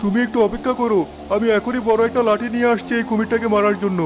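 A man's voice: high voice, flat delivery, very fast, background noise, close to an everyday voice. A man acting a determined, protective village fisherman father in a cartoon drama, with an emphatic delivery. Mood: desperate. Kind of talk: dramatic Bengali animated story acting. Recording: faint rain and storm ambience.

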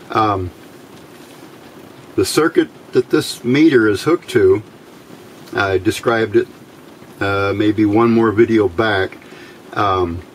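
A man with a fairly low voice talks through a hands-on bench demonstration. He explains things in measured short phrases with pauses between them, recorded indoors with some steady room noise behind him. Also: rough recording. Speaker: electronics hobbyist demonstrator. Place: indoors at a workbench.

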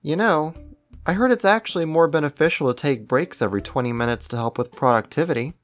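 A woman's voice: low voice, fast, dry recording, faint music behind, lightly acted. A woman playing a clingy, affectionate young boyfriend in an intimate ASMR roleplay, teasing, coaxing and pouty. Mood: pouty, pleading.

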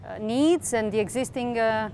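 A woman's voice: normal speed, darkish tone. A woman in her thirties with a Portuguese accent, answering as a waste management expert in an outdoor interview. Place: outdoors above a town. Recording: clear outdoor traffic rumble.

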